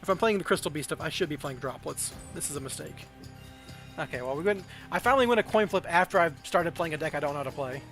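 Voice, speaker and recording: Higher-voiced Southern American man streaming. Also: animated, quick pace, fairly bright tone, soft background music. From southern United States. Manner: thinking aloud, self-questioning. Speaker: game streamer. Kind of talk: casual livestream chat while playing a card game.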